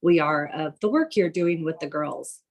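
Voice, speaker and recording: Female charity officer on a group call; low register, measured, explanatory. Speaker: treasurer of a charity. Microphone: online conference call.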